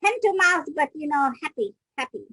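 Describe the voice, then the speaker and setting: A woman's voice: high-pitched, expressive, a little fast, sharp tone, dry recording, low-quality recording. A middle-aged woman from Kenya, guest on an interview, telling her story in a reflective way and often restarting sentences mid-thought. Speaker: guest, business coach.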